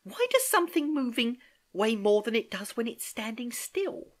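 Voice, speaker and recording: Reader voicing an earnest schoolgirl, high and breathy. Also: sing-song, moderate pace. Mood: earnest, puzzled. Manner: questioning, eager. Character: earnest, curious schoolgirl niece, easily exasperated.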